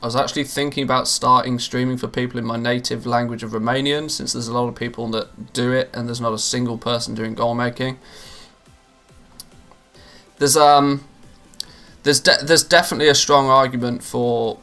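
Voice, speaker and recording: A man's voice: mid-range voice, level tone, normal speed, sharp tone. Rambling male streamer, faint music behind. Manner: rambling, many restarts and fillers. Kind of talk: casual live-stream chat.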